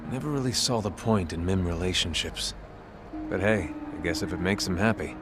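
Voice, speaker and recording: Low-voiced easygoing crew leader. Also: male voice, animated, normal speed, music in the background. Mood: casual. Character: easygoing male military crew leader, helpful and appreciative. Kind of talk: video game cutscene acting.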